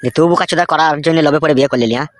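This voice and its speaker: Man playing a jeering, rapid-fire village drinking buddy. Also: on the high side, lightly acted. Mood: mocking. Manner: jeering, teasing, cursing. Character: mocking, foul-mouthed village drinking buddy. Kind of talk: comedy cartoon voice acting.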